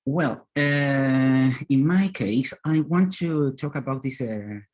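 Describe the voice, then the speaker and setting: A young man from Chile with a Chilean accent, a native Spanish speaker giving a loose presentation in halting, repetitive phrases. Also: mid-range voice, a little mellow. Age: young adult. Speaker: student panelist. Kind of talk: loose, semi-improvised presentation. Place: online video call.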